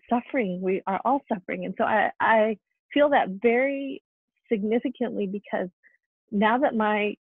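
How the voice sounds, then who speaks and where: Woman panelist, husky and gravelly, reflective and halting, heard through a thin phone-like call. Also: mid-range voice, no echo. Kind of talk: earnest panel discussion. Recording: tinny phone-like line.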